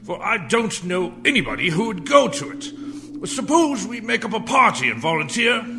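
An actor playing a callous, drily joking gentleman of commerce in a radio play. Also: high-pitched, sing-song, normal speed, background noise. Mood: dry, indifferent.

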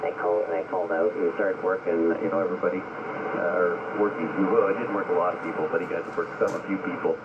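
A man with a deep, low voice rambles casually over the radio. The audio is dull and narrow, with plain static running behind the words. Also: little pitch movement, fast talker.

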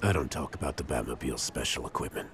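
A man voicing a stern, terse masked superhero in a cartoon, gruff and deadpan. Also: very deep voice, fast, noisy background. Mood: stern, guarded. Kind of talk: animated children's cartoon acting. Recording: music behind the voice.

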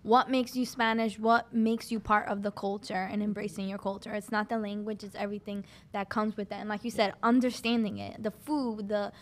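A woman's voice: mid-range voice, little pitch movement, a little fast, hardly any pauses, slight background noise. A woman giving her view casually as a podcast guest, on a close studio microphone. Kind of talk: casual panel discussion. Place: podcast studio with brick walls.

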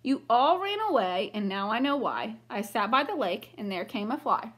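A woman's voice: on the high side, sing-song, normal speed, a bit bright, husky, exaggerated voice. A woman voicing an earnest little boy in a rhyming picture book, in a sing-song manner. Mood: explaining. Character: little boy calling out for help.

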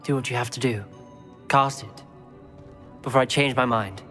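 A man's voice: medium pitch, normal speed, bright, forceful tone, faint music behind, close to an everyday voice. British schoolboy wizard acted by a man: principled, reluctant, formal game character, long reverb around the voice. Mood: resigned. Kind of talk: video game character dialogue. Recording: long echoing stone-chamber reverb.

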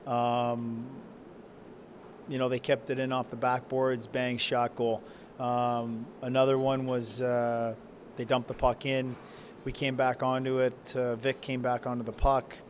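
A man in his forties, a head coach, answering post-game press questions in a halting, subdued way with many fillers. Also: medium pitch, monotone, slight background noise. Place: press room backdrop. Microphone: handheld reporter mics held close.

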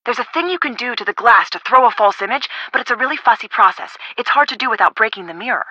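Bright, chatty young game sidekick. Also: female voice, a little high, quick pace, no room sound, lo-fi sound. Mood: chatty, casual. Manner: casual, expressive, friendly. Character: upbeat, chatty, helpful young woman, the detective's friend. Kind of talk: video game voice acting.

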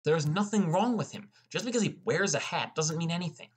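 A man's voice: a little high, expressive, fairly quick, husky. A man playing a sullen, defensive teenage boy who narrates his own story in a scripted audiobook.

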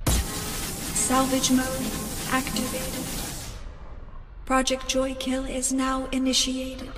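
An actress voicing a cold, cheerful automated factory announcer in a horror game, formal in delivery. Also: female voice, high-pitched, monotone, takes it slowly. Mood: mechanical. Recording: hall reverb, loud music behind.

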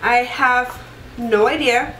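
A woman's voice: fairly high pitch, fairly even intonation, takes it slowly, a bit bright, far from the mic, a little hiss or hum. Stuffy young woman rambling through a makeup tutorial. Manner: chatty and rambling, many fillers and restarts. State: stuffy from a cold. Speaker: beauty vlogger. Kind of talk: casual makeup tutorial chat. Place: small room indoors. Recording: roomy echo, voice a bit off-mic.

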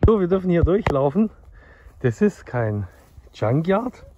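A man's voice: fairly high pitch, very animated, unhurried, dark tone, dry recording, lo-fi sound. A man in his thirties talks casually and eagerly to his viewers on a phone camera, outdoors at a junkyard and inside a car. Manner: chatty, enthusiastic, addresses viewers directly. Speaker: classic car dealer. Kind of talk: casual vlog commentary.

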